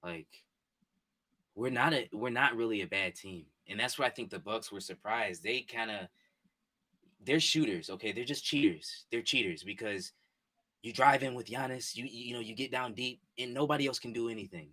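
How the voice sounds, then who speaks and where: A man in his twenties chatting casually with his live-stream viewers from a home setup, excitable and repetitive. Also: medium pitch, normal speed. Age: 20s. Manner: excitable, repetitive, talks straight to chat. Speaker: sports streamer. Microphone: desk mic and headphones.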